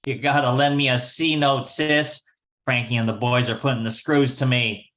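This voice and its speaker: Scheming small-time gambler, 1940s radio play, wisecracking, bright forceful delivery, male actor. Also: medium pitch, steady tone, moderate pace, close to an everyday voice. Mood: pleading, cocky. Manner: wisecracking, slangy. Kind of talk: 1940s radio detective acting.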